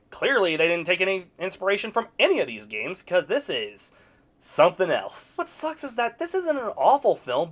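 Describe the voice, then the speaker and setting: Brief: blunt, sarcastic movie reviewer, pitch swooping all over, casual swearing. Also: a bit bright.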